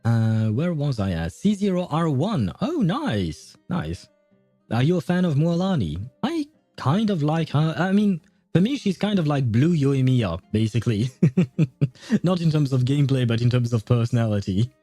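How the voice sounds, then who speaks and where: A man's voice: medium pitch, big pitch swings, normal speed, warm tone, dry recording, faint music behind. A man livestreaming a video game, chatting casually with viewers in a conversational, meandering way.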